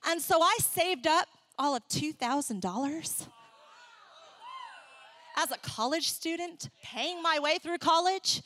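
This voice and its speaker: A young adult woman who grew up in Ecuador, preaching and telling her own story in a candid, animated way. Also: sits high, takes it slowly, dry recording. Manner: animated, candid storytelling with laughs and acted asides. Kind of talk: sermon with personal story.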